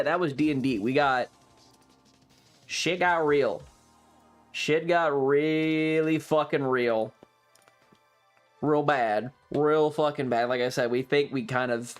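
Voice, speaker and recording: Male streamer, casual and sweary storyteller, mid-range voice, hammers points home by repeating them, music behind. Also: mid-range voice. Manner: sweary, emphatic, repeats phrases for emphasis. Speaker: livestreamer. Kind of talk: casual chat, animated storytelling to stream viewers.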